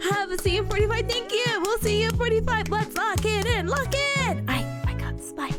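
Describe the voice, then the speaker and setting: A woman's voice: extremely high pitch, sing-song, moderate pace, over loud music. A young woman streamer chatting casually with viewers, bubbly and giggly, her voice partly worn out. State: voice partly gone. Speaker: streamer hosting a study stream. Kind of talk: casual chat reading viewer messages.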